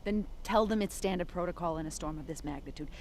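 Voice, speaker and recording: An actress as a stubborn woman detective in an audio drama, with a slightly low voice. She talks bluntly and wryly, with clear ambient noise around her. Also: fast, a little mellow, close to an everyday voice. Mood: insistent. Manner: blunt, wry, insistent.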